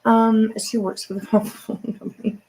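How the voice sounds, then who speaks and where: A woman's voice: on the high side, little pitch movement, a little slow, a little mellow, a little hiss or hum, rough recording. A middle-aged woman hosting a casual livestream, chatting in a rambling, informal way with some mild swearing. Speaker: live stream host. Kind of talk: casual late-night livestream chat.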